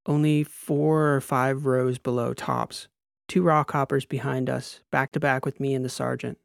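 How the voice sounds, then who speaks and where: A man voicing a strained, defiant female lieutenant in an audiobook, answering in halting, clipped phrases. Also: mid-range voice, little pitch movement, creaky, clear, resonant tone, dry recording, studio quality. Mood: halting, concentrating. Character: strained, defiant female army lieutenant recalling combat drop.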